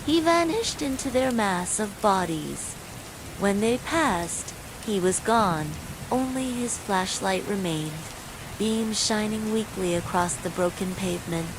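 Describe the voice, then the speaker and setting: A woman reading a scripted bedtime horror story in a measured, suspenseful way, as a storyteller. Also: medium pitch. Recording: steady loud rain noise throughout. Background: falling rain.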